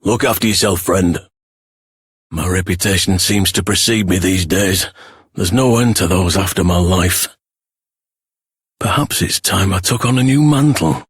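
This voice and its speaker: An actor playing a friendly, world-weary warrior in a video game, speaking informally and sincerely with a husky voice. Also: male voice, low-pitched, a little slow, dry recording, studio quality, exaggerated voice. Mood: sombre, caring. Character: friendly, world-weary warrior man, loyal comrade on the run. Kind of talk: scripted video game voice acting.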